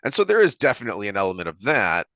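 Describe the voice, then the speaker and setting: Low-voiced man in a dry, close recording. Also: wildly swooping pitch, racing, bright, forceful tone, dry recording. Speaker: podcast host discussing books. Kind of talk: conversational analytical discussion.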